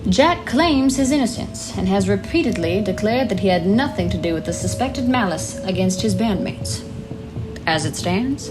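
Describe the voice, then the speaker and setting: An actress playing a composed radio host in an audio drama, announcing in a polished, dramatic broadcast style. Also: female voice, medium pitch, natural voice. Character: composed female radio host presenting a crime exclusive. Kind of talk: scripted radio broadcast presenting. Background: music.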